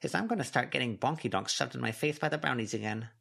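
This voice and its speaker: A man's voice: medium pitch, fast, vocal fry, a bit bright, slightly rough, pitched above the performer's own voice. A man voicing a small young female animal companion who talks in a blunt, muttering, contrary way in a story reading. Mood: blunt, grumbling.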